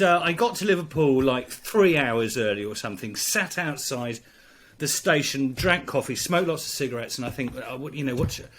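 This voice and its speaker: This is a middle-aged man with an English accent and a voice pitched a bit high for a man. He is a priest recounting his own story to an interviewer, halting often with fillers, false starts and repeated words. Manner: halting, many fillers and restarts. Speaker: priest, guest. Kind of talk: reflective storytelling in conversation.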